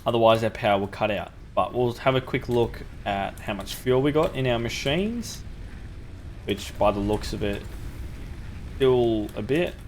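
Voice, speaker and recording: A man's voice: mid-range voice, measured pace, darkish tone, a little hiss or hum. A young man with a sore throat, casually talking through a factory-building game as the channel's host, thinking aloud with ums. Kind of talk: gameplay commentary.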